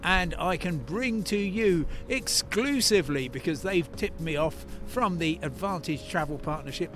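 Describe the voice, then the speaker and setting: A British man hosting a travel podcast, giving unscripted, chatty news commentary on location outdoors at an airport. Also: on the high side. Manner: chatty asides, plane-spotting digressions. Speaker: travel podcast host and correspondent.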